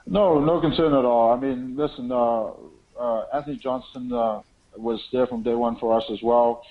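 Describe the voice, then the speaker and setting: A man speaking as a promotion president, giving assured, measured answers to press questions on a conference call. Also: medium pitch, fairly even intonation. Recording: dull lo-fi conference phone line.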